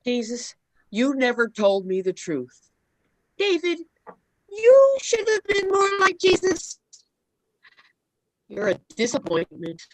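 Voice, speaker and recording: A woman playing a doting, credulous mother who speaks gently and soothingly. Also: high voice, wildly swooping pitch, takes it slowly, pauses often, a little hiss or hum, exaggerated voice, rough recording. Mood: scolding.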